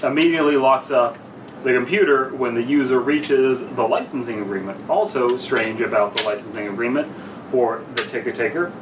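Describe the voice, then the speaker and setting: A young man, tipsy and halting, chatting casually with friends and stumbling as he reads a story aloud. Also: mid-range voice. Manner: halting, stumbles over reading. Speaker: podcast co-host reading a story aloud. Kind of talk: casual banter and story reading among friends. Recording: echoey noisy room, far from mic.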